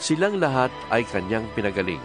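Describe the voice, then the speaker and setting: A man narrating a dramatized scripture reading in a measured storytelling manner. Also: fairly low pitch, very animated. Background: music.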